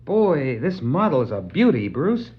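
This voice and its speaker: An actor playing an eager, boyish superhero sidekick in a 1960s children's record dramatization. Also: male voice, on the high side, sing-song, measured pace. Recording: muffled 1960s vinyl record.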